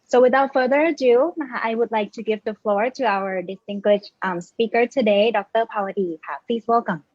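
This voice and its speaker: A woman's voice: a little high, normal speed, few pauses, no echo. A woman moderating an online event, formally and hesitantly introducing the guest speaker and reading out her research areas. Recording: dull, dry lo-fi sound.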